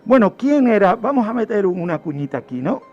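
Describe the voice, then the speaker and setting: A man's voice: sits high, sing-song, a little fast, lo-fi sound. A man explaining a true-crime history on radio in a didactic way, posing questions and answering them. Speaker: guest criminologist presenting a true-crime segment.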